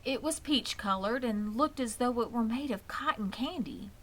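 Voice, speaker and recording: A woman's voice: a little high, moderate pace, fairly bright tone. A woman reading a children's story aloud as scripted narration. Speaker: storybook reader.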